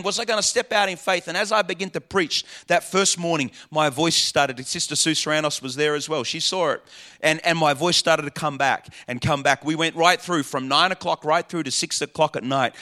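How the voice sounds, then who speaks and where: A male pastor preaching with fervent, repetitive emphasis, his voice pitched high and ringing bright. He sounds a little hoarse. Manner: fervent preaching with repeated phrases. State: slightly hoarse. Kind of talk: sermon.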